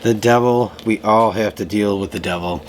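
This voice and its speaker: A man giving a casual tarot reading to camera, slightly hoarse from a lingering cough, talking in a loose way with many fillers. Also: on the low side, moderate pace, slight background noise. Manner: relaxed, loose, full of fillers and restarts. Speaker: tarot reader. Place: room at home.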